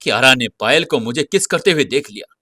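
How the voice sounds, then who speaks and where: A vain young hero from a romantic audio drama, acted out by a man, easily flustered and given to dramatic exclamation. His voice is bright and sharp, recorded close and dry. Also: mid-range voice, animated, fairly quick, husky, no room sound. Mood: panicked.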